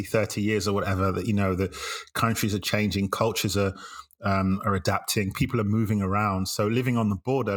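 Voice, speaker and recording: A man's voice: lowish voice, fairly bright tone. A man hosting a podcast interview who chats in a friendly way and uses fillers as he talks. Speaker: podcast host.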